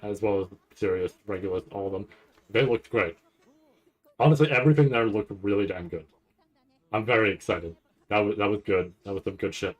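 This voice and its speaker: A man with a fairly low voice talks like a streamer reacting live. He rambles casually, thinks out loud as he goes and swears freely. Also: rough recording. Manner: rambling, thinking aloud, profane. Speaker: streamer reacting to an anime trailer.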